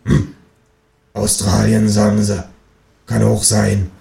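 A man's voice: fairly low pitch, monotone, takes it slowly, creaky, mellow, roomy sound, full character voice. A man voicing a grumbling, suspicious, bigoted old woman neighbor in a story reading.

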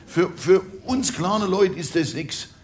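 A man's voice: high-pitched, lively intonation, slow pace. An older man rambling in a grumbling way, stumbling and repeating himself. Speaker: grumbling old worker figure.

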